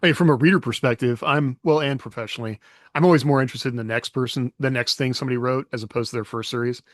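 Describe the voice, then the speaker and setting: Mid-pitched male co-host, thoughtful and halting, dry close sound. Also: steady tone, no room sound. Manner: reflective, hesitant, laughs often. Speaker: podcast co-host, author. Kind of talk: casual chat.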